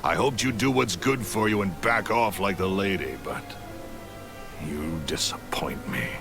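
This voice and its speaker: Mean gravelly game villain, low, sneering, music going under. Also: male voice, animated, unhurried. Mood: menacing. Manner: low, threatening, contemptuous. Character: menacing grown male villain, cold and threatening. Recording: game audio over music.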